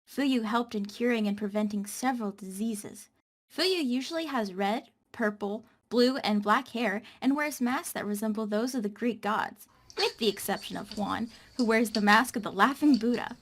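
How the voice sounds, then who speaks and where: An earnest female keeper of fantasy legends read by a woman, a little high in pitch. She explains lore in an expository way, as in an amateur script read. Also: normal speed, close to an everyday voice. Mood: explaining, earnest.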